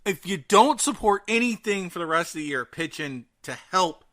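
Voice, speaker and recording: A man's voice: high voice, animated, normal speed, fairly bright tone. A man co-hosting a casual podcast, emphatic and fond of repeating phrases for emphasis. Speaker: podcast co-host. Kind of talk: casual horror news chat.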